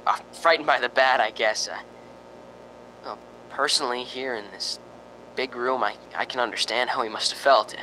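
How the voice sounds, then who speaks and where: A nervous man played by an actor hosts from a haunted house in an old-time radio drama. His voice is sharp and tense, and he hesitates and trips over his words. A faint rumble runs under the recording. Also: normal speed, natural voice, rough recording. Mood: uneasy. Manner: stammering, hesitant, exclaiming. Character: jumpy, frightened male radio host in a haunted house.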